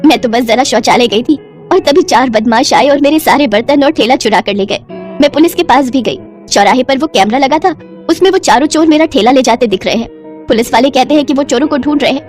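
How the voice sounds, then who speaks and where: A woman's voice: high-pitched, brisk, darkish tone, soft background music, natural voice. A woman voicing a kind, humble old widow who sells food from a cart, with homely, affectionate speech in a story. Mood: dejected.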